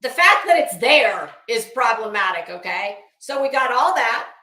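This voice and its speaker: A woman's voice: fairly high pitch, animated, moderate pace, pushed and bright, reverberant. A woman doing casual livestream commentary as host, blunt, salty and emphatic, with a disdainful edge.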